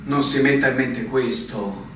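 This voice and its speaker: A man's voice: mid-range voice, a little slow, close to an everyday voice. A man playing a resigned, world-weary veteran army captain in a radio drama, with a formal, consoling manner. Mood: calming, guarded. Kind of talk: stage-style radio drama acting. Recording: very echoey, distant, faint noise.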